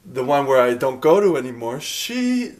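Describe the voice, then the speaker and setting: A man in his thirties talking casually to a camera at home in a dark room, chatty and rambling, often cutting himself off mid-sentence. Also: mid-range voice, sing-song, moderate pace, echoey room. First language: English. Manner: rambling, chatty, self-interrupting. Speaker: vlogger.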